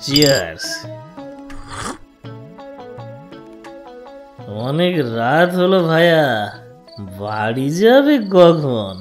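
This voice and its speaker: A woman's voice: sits low, sing-song, slow, soft background music, full character voice. Woman voicing a wildly swooping, tipsy cartoon bachelor. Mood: tipsy, sympathetic. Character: dim, cheerful drunk bachelor man, naively literal. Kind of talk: cartoon comedy dialogue.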